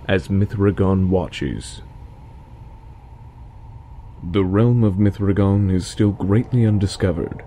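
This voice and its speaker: A man playing an ancient, solemn immortal lord, delivering an epic fantasy prologue in a grave, measured manner. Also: low-pitched, warm tone, slight background noise. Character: ancient solemn risen lord, being born of sparks. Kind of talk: slow epic prologue narration.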